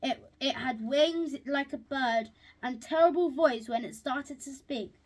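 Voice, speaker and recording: Female reader, really high voice, slow and halting, reading a picture book out loud. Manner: halting, stumbles and repeats words while reading. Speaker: storybook reader. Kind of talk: reading a rhyming children's picture book aloud.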